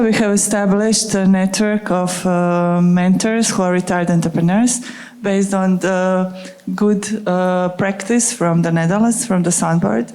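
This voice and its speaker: Croatian woman asking a question, lowish voice, lots of uhs. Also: darkish tone. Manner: hesitant, many fillers. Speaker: audience member asking a question. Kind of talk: question from the floor.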